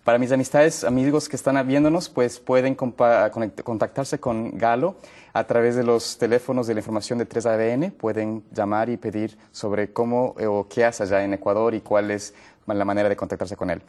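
Male health-show host with a warm, mellow studio voice; friendly and plain-spoken. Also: medium pitch, fairly even intonation, normal speed. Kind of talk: TV talk show hosting.

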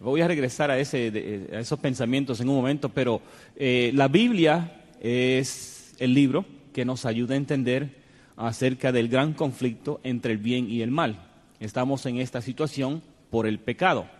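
Male TV panel host, courteous, halting, long hall reverb. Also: mid-range voice. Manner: hesitant, many fillers, courteous. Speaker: program host and panel moderator. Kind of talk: religious TV panel discussion.

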